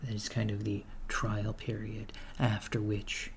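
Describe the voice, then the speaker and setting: A soft, half-whispered man playing a villainous hiring officer, polite and matter-of-fact in an ASMR roleplay. He talks very slowly and haltingly, with little rise or fall in pitch. Clear background noise and keyboard typing sit behind him. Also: completely flat delivery. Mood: businesslike. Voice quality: soft-spoken, often slipping into whisper. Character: polite, bureaucratic male henchman recruiter at an evil corporation. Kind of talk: ASMR roleplay, improvised job interview. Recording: clearly noisy close recording, keyboard typing. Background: computer keyboard typing.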